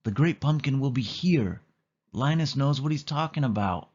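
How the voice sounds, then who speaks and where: A man's voice: mid-range voice, expressive, moderate pace, darkish tone, slightly rough, lo-fi sound, pitched above the performer's own voice. A man voicing a grumpy, impatient and outspoken little girl in a children's storybook. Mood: defiant. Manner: grumbling, hollering.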